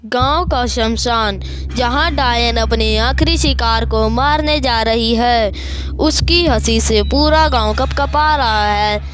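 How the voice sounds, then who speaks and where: A woman's voice: a little high, slow. A woman narrator reading a scripted horror story. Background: music.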